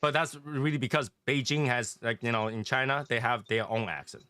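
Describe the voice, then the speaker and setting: A man with a Taiwanese accent leads a casual group chat. His voice is bright and medium in pitch, recorded dry. He tends to repeat phrases to make his point. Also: brisk, clean tone, no room sound. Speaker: host and leader of an online cigar community.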